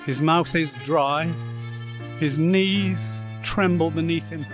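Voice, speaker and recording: A man performing as the narrator of a radio play, solemn and measured in his dramatic storytelling. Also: on the high side, music in the background.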